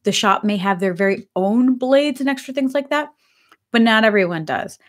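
A woman in her thirties hosting a live stream on pet grooming in a chatty, upbeat way, her voice slightly hoarse. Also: fairly high pitch, normal speed. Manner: upbeat, chatty, says she is excited. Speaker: pet grooming business host. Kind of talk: casual live-stream talk. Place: home office with bookshelves, indoors.

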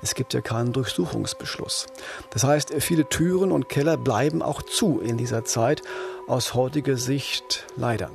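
A man's voice: mid-range voice, moderate pace, gravelly, music in the background. A man co-hosting a true-crime podcast, telling a case in a serious, explanatory way. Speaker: podcast reporter and co-host.

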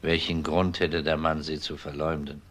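An actor playing a persistent, no-nonsense police detective with a probing, matter-of-fact manner in a crime radio drama. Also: male voice, very deep voice, level tone, moderate pace. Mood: sober, matter-of-fact.

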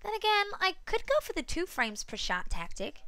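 Woman playing exasperated teenage girl, excitable, high voice, swinging intonation, audio story. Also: moderate pace, no room sound, heavily acted. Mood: grumbling, resigned. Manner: dramatic, talks to herself. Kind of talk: acted fan-fiction audio reading.

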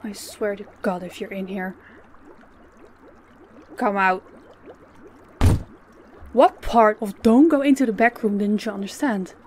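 A woman playing a stern, menacing female boss in an audio drama, speaking in a commanding, deliberate way. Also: medium pitch, a little mellow, a little hiss or hum, natural voice. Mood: cold, threatening. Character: stern, menacing female crime boss posing as business owner.

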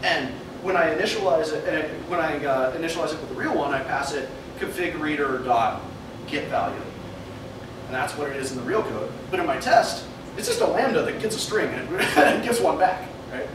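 A young man giving a meetup talk at a whiteboard, animated and laughing often as he thinks aloud and takes questions. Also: on the high side, moderate pace. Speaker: meetup speaker. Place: meeting room with an audience. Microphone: far camera mic. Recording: echoey room from far off, noisy.

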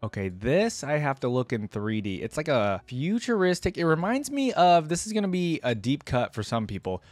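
Young adult man, animated intonation, dry close-mic sound, slangy casual streamer commentary. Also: a little high, normal speed, dry recording, soft background music. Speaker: reaction video host. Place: indoor streaming setup. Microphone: close desk microphone with headset on.

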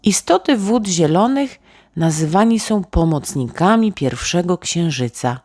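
A woman storyteller narrating a bedtime tale for children in a gentle, expressive way. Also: fairly low pitch, unhurried, dry recording, clean studio sound. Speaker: storyteller, author reading own tale.

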